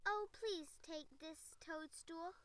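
A woman voicing a kindly, polite elderly male rabbit gentleman in a storybook, speaking gently and softly. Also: very high voice, takes it slowly, pitched above the performer's own voice, exaggerated voice. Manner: gentle, polite, soft-spoken.

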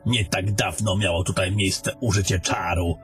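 A man's voice: low voice, fairly even intonation, normal speed, a bit bright, soft background music. A man playing a scornful, domineering battle mage in a fantasy audio drama, with a commanding and coarse way of talking. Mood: matter-of-fact.

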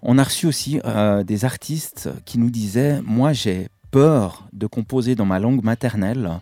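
A man's voice: medium pitch, normal speed, dark tone, dry recording, clean studio sound. A man hosting an interview, asking rambling, chatty questions full of fillers. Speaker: radio host.

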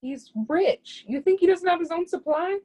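A woman's voice: extremely high pitch, moderate pace, warm tone. A woman playing a proud, zealous would-be artist's muse in a play reading, speaking with lofty, scornful emphasis. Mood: haughty.

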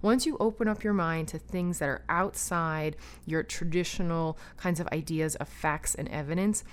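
Chatty woman host, lowish voice. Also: moderate pace, clean tone. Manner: enthusiastic, rambling, many fillers. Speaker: podcast host. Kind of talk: casual spiritual talk, guided breathing.

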